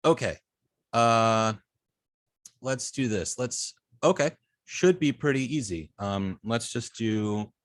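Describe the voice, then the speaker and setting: Male instructor, informal and halting, mid-pitched, very little room sound. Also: expressive, no echo, clean studio sound. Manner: hesitant, halting, often repeats words. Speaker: web design class instructor. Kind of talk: informal online teaching and student check-ins.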